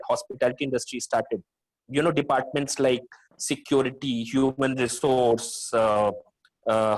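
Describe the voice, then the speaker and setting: A man's voice: on the high side, low-quality recording. Man moderating with hesitant fillers. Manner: hesitant, long questions full of fillers. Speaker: webinar moderator. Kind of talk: panel discussion over video call. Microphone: video call.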